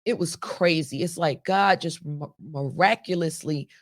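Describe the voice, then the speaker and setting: Low, raspy woman podcast host. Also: measured pace. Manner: emphatic, motivational, folksy direct address. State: hoarse from laryngitis. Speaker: podcast host and business coach. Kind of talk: upbeat podcast monologue to listeners.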